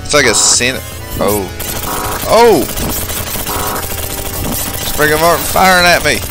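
A man's voice: on the high side, sing-song, slow, poor audio. A man giving casual, off-the-cuff commentary as he goes through a video game. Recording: game music behind the voice. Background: video game music.

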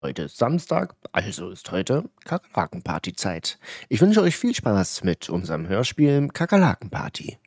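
A man's voice: on the low side, wildly swooping pitch, normal speed, a little mellow, slightly rough, no room sound, clean studio sound. A man speaking as a host, announcing a show to listeners.

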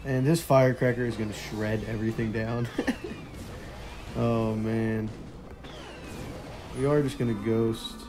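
A young man with a hoarse, partly lost voice, casually commentating a mobile strategy game and chuckling quietly as he plays. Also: fairly low pitch, measured pace, long pauses, music in the background. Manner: relaxed, chuckles quietly while playing.

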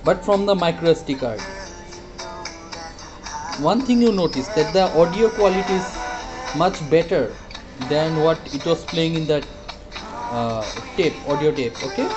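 Male product reviewer, fairly high voice, informal step-by-step demo style, halting delivery with repeated words, faint music in background. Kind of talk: casual product demonstration.

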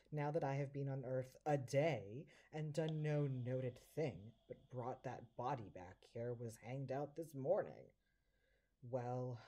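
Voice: mid-range voice, dark tone. An actor in an amateur audio drama playing a hapless minor devil in human shape, speaking plaintively in theatrical early modern English. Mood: glum lamenting.

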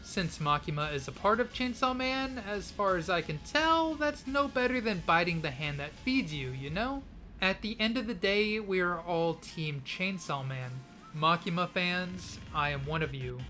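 A man's voice: sits high, animated, normal speed, sharp tone, soft background music. A man giving scripted anime commentary in a mock-serious, playfully dramatic way full of puns, recorded close to the mic. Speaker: anime YouTuber.

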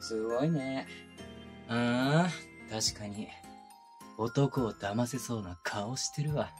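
A man's voice: mid-range voice, slow, natural voice. Man acting a mocking, sadistic detective over music. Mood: teasing, admiring. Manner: mocking, needling, drawling. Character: sadistic male detective, one of twins, taunting a suspect. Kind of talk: Japanese situation voice drama, binaural ASMR. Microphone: close binaural microphone.

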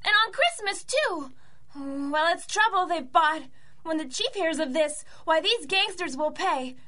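A woman's voice: very high voice, expressive, unhurried, sharp tone, heavily acted. A woman playing a brave, earnest little boy who works as a young agent, in a rhymed radio drama. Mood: indignant. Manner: plucky, earnest.

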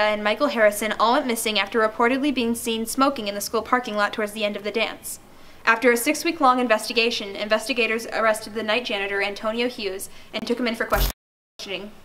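Fast-talking young student reporter, woman acting. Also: fairly high pitch, a bit bright, a little hiss or hum, lightly acted. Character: determined female student reporter investigating disappearances. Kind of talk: found-footage horror film acting, with on-camera report.

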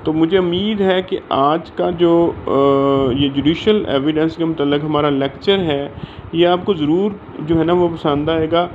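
A man's voice: fairly high pitch, measured pace. A man with a Pakistani accent explaining material in an informal lecture, leaning on filler phrases, recorded on a phone. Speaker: law lecturer. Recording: dull lo-fi audio with faint background noise.

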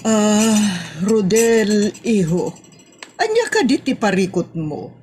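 Actress as middle-aged Ilocano auntie, comedy drama: very slow, drawn-out, exclamatory, faint noise behind. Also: female voice, medium pitch, very animated, a little mellow. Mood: solicitous.

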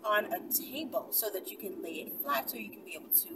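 A young adult woman chatting casually to her viewers like a vlogger, animated and conversational, often checking in with short tags. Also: medium pitch, fast. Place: inside a car in daylight. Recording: distant mic, noisy car interior.

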